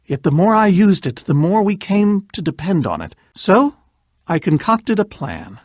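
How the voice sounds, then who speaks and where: A man's voice: high-pitched, big pitch swings, measured pace, darkish tone, dry recording, clean studio sound, exaggerated voice. A man playing a boastful yet nervous, evasive adventurer in a video game, wordy and theatrical, stammering when flustered. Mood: rueful, explanatory. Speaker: voice actor in a story video game. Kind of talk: animated video game dialogue.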